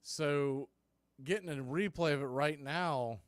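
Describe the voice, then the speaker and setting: Higher-pitched man calling baseball play-by-play, dry sound. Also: slow, smooth, no echo. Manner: homer fan, informal and colloquial. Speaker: baseball play-by-play announcer for a fan watch party. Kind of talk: live sports play-by-play commentary.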